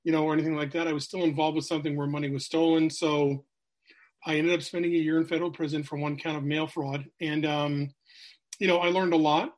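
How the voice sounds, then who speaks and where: A man's voice: a little high, level tone, quick pace, rough recording. A middle-aged man, a sales coach telling his life story as a podcast guest, candid and rambling with many fillers. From New Hampshire, USA.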